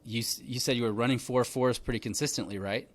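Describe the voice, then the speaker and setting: A man in his thirties hosting a podcast, telling stories in a relaxed chatty way full of "like" and "man". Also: medium pitch, fast. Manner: relaxed storytelling, lots of fillers like "like" and "man". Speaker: podcast host. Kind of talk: casual conversational interview.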